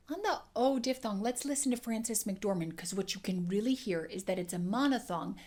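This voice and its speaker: A woman dialect coach teaching an accent lesson, explaining clearly and demonstrating sounds. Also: a little high, darkish tone.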